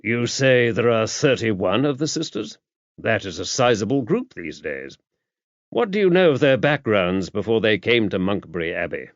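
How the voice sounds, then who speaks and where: A man voicing a mild, courteous priest in an audiobook, measured and wry. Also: fairly low pitch, sing-song, dry recording. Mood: wry, probing. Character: mild, courteous male priest, a former spy, wryly inquisitive. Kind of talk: audiobook character dialogue. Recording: dull, muffled lo-fi audio.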